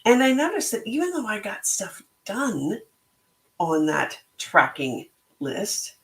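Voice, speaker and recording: A middle-aged woman with a slightly hoarse voice, hosting a reflective solo talk in a slow, thoughtful, motivational way. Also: medium pitch, huge pitch swings, fairly bright tone, reverberant. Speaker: podcast host and book club organizer.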